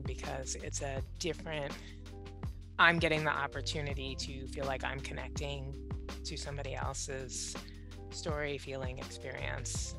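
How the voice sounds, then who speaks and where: A woman in her thirties, a writer being interviewed over a video call on a headset mic at home, talking candidly and laughing at herself. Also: very deep voice. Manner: candid, self-deprecating, laughs often. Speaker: guest writer. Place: home room with bookshelves.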